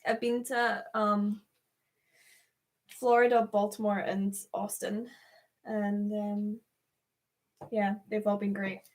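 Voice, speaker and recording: A woman's voice: mid-range voice, little pitch movement, slow, long pauses. A young woman with a Glaswegian Scottish accent, co-hosting a livestream in casual chat and laughing often. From Scotland. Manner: chatty, laughs often, breaks into acted-out quotes. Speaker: livestream co-host.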